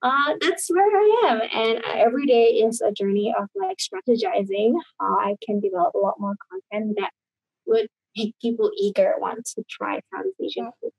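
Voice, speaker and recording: Woman, from Indonesia, somewhat high voice, husky, rambling casual chat, video call. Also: big pitch swings, moderate pace, no room sound, muffled recording. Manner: rambling, many fillers and restarts. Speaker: guest.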